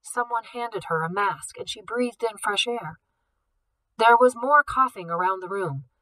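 A woman reading a novel aloud, steady and expressive. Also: mid-range voice, unhurried, low-quality recording. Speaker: audiobook reader.

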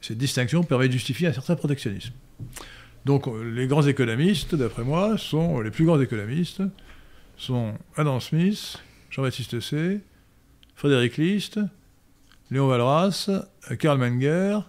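An older man answering listener questions in a professorial, hesitant manner with frequent pauses and restarts, recorded on a stand mic in a study. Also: medium pitch, lively intonation, darkish tone. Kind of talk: lecturing Q&A answers. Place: study room indoors. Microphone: studio mic on stand.

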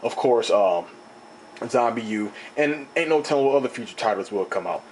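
A young man with a slightly raspy voice, rambling and animated as he talks through an unboxing on his own channel, recorded indoors on a camera mic. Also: mid-range voice, normal speed, a little hiss or hum. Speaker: video host. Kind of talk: casual unboxing talk. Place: indoor room, table top.

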